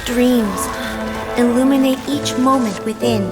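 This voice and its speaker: A woman playing a serene, gentle divine woman in a game dub, speaking in a soft, solemn, poetic manner. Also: a little high, measured pace, long reverb tail, natural voice. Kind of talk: English game dub voice acting. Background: game music.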